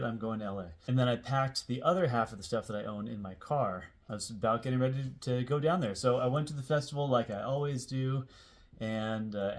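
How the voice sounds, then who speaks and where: An American man in his forties telling a personal story casually to camera, rambling informally and leaning on key words for emphasis. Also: medium pitch. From Seattle area, United States. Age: 40s.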